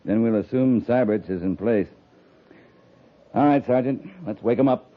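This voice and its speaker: A stern army captain played by a man from a 1950s radio western, with a medium-pitched male voice. He speaks in clipped, commanding phrases and presses his point shrewdly. The old broadcast sound is narrow and lo-fi. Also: normal speed, close to an everyday voice. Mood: firm command. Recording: muffled lo-fi 1950s radio recording.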